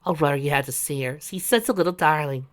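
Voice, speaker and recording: Man voicing a kind young queen, pitched up. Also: quick pace, fairly bright tone, natural voice. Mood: affectionate. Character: kind young queen, warm and excitable woman.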